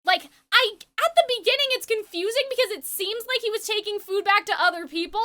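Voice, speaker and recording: A woman's voice: extremely high pitch, fairly quick, sharp tone. A young woman hosting a casual reaction chat, opinionated and ranting, full of "like". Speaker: host, musical theatre performer.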